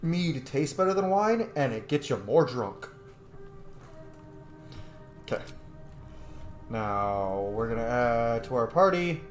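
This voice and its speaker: A man's voice: mid-range voice, very animated, takes it slowly, long pauses, faint music behind. A man giving casual, slangy, joking and sweary commentary as he roleplays through a video game. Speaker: gaming streamer. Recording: roomy echo, faint background noise.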